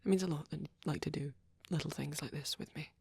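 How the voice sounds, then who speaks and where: A man playing a shy, devoted vampire boyfriend in an intimate ASMR roleplay, gentle, hesitant and stammering, with a breathy voice. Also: fairly high pitch, fairly even intonation, fast, no echo, full character voice. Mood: bashful, tender. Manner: hesitant, stammering, soft and rambling.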